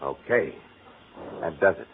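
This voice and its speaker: An actor playing a gruff, wry police detective in a 1940s radio crime drama, with a clipped, insistent way of talking. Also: male voice, a bass voice, sing-song, measured pace, heavily acted. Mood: wry, probing. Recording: tinny, faintly noisy old radio recording.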